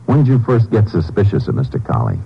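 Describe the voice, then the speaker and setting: Deep-voiced, courteous lawman. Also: big pitch swings, gravelly. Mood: calm questioning. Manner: measured, polite, matter-of-fact questioning. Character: calm, courteous, seasoned Texas Ranger investigator. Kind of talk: old-time radio crime drama acting. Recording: muffled, noisy vintage radio recording.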